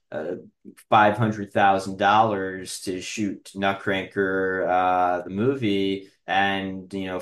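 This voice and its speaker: A man in casual podcast conversation, speaking reflectively and haltingly with frequent pauses, in roomy, echoing sound. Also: deep voice.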